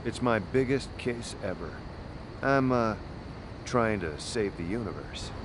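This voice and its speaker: A jaded private eye from a video game, with a deep, low voice. He wisecracks drily and sardonically. A clear rumble sits behind the speech. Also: expressive, a little slow. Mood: dry. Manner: dry, sardonic.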